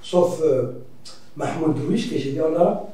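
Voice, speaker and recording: A Mauritanian writer speaking reflectively as a debate guest, pausing and restarting often. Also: male voice, a little high, expressive, warm tone. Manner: reflective, hesitant, many restarts and fillers. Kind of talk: debate discussion. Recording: echoey room from far off.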